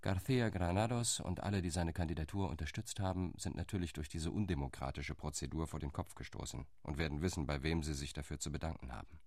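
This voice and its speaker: A cool calculating delegate. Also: male voice, a bass voice, fast, few pauses, a little mellow, no echo. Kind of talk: radio play monologue.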